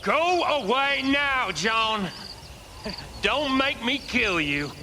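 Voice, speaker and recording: A man's voice: very high voice, big pitch swings, sharp tone, a little hiss or hum, heavily acted. A man playing a bitter, mocking, volatile outlaw gang leader in a western game, taunting. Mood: bitter, menacing. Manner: taunting, drawn-out. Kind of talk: video game voice acting.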